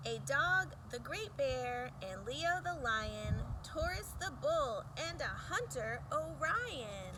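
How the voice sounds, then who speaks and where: A woman reading a rhyming picture book aloud for children in a sing-song, emphatic way with deliberate pauses. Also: high voice, big pitch swings, background noise.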